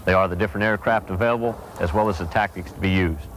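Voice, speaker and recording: A man's voice: deep voice, quick pace, a bit bright, a little hiss or hum, poor audio. A man giving a formal, explanatory scripted presentation as a military aviation instructor.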